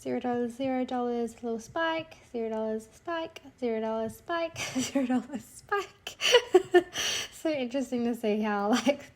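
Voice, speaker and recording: A woman in her thirties talks through her sales figures in a relaxed, chatty way, sprinkling in "like" and "so". Her voice sits a little high and sounds a bit nasal from a cold. She keeps an upbeat tone and laughs lightly now and then. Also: normal speed. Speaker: clipart seller sharing a monthly income report. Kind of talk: casual screen-share walkthrough.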